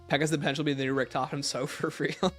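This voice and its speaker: A man's voice: a little high, little pitch movement, racing, a bit bright, faint music behind. A man hosting a livestream, casually reading out questions and answering them with emphatic opinions.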